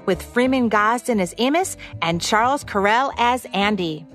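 A woman's voice: fairly high pitch, lively intonation, normal speed, a bit bright, faint music behind. A woman hosting a radio program, reading a scripted introduction to vintage shows. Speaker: radio host.

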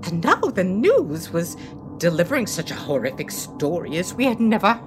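A woman's voice: on the low side, sing-song, moderate pace, music in the background. An actress playing a wistful woman narrating her memories in a radio drama. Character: woman reminiscing wistfully about a frightening radio night.

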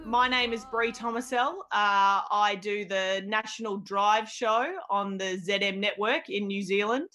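A woman's voice: medium pitch, measured pace, hardly any pauses, bright, forceful tone, faint music behind. A young woman who hosts a radio show, chatting casually in an interview with jokes and plenty of fillers. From Australia. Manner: jokey, self-deprecating, rambling with fillers. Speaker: radio host guest.

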